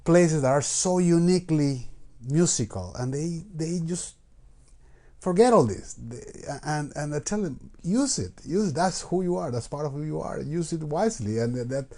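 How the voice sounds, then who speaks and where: A man's voice: fairly high pitch, lively intonation, normal speed, warm tone. A man hosting a live-streamed interview with a musician, talking casually with many fillers and restarts. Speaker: host.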